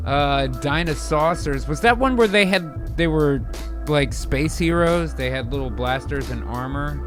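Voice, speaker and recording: A man's voice: on the high side, measured pace. A man co-hosting a comedy livestream in casual, rambling banter with free swearing and a jokey, emphatic delivery. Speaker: co-host of a comedy improv livestream. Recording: music playing under the voice. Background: music.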